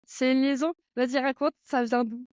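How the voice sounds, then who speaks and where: A woman's voice: high-pitched, fairly even intonation, normal speed, a little mellow, no room sound, studio quality. A woman hosting a podcast, asking questions in a friendly, upbeat way. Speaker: podcast host. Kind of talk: casual podcast interview. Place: near Paris.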